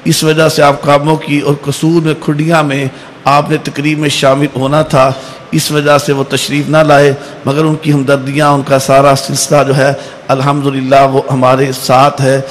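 A man's voice: a little high, monotone, normal speed. A middle-aged Pakistani man acting as master of ceremonies, making formal stage announcements into podium microphones. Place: stage at a religious convention. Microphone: podium microphones through a PA. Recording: live PA with faint background noise.

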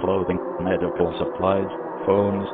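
A man's voice: low-pitched, level tone, a little slow, very noisy. A man reading out a scripted emergency warning as an alert announcer. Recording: tinny phone-like radio, loud music behind.

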